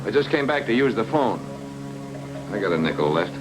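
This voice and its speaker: Low-voiced, deadpan noir detective. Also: male voice, a little fast, over loud music, low-quality recording. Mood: cool, dry. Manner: terse, dry, deadpan delivery. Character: hard-boiled, wisecracking male private detective, coolly unruffled. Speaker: actor playing a private detective. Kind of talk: 1940s film noir radio acting. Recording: old film soundtrack with faint noise.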